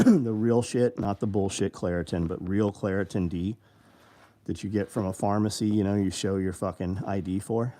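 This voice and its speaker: A man's voice: lowish voice, steady tone, moderate pace, dark tone. A man in his fifties hosting a casual live show, answering viewer comments in a blunt, rambling and profane way. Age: 50s.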